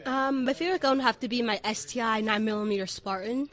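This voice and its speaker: High-voiced eighteen-year-old woman, informal. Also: quick pace, fairly bright tone, highs cut off. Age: 18, young adult. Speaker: interview guest, young shooter. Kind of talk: casual interview answers. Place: inside a sport shop.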